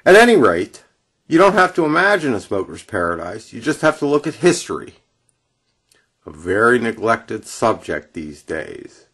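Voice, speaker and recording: A man's voice: on the low side, sing-song, moderate pace, fairly bright tone, breathy edge. A man delivering an opinionated radio monologue in an emphatic, wry manner. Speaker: radio presenter. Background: acoustic guitar music.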